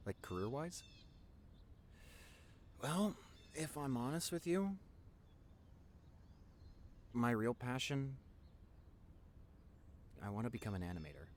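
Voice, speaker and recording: Casting: male actor as introverted nerdy young boyfriend, mid-pitched, earnest, stammering, intimate audio roleplay, faint noise behind. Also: big pitch swings, slow pace, long pauses. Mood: earnest, wistful. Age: young adult. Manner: nervous stammering, earnest, rambling. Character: nerdy introverted young boyfriend, wacky, tender, passionate artist.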